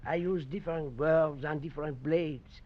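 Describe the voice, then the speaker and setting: An actor playing a kindly, mysterious old male knife maker who speaks gently and paternally, in a 1940s radio drama. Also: on the high side, fairly even intonation, normal speed, lightly acted. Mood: calm, knowing. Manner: gentle, paternal, calls him my son. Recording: dull old radio recording.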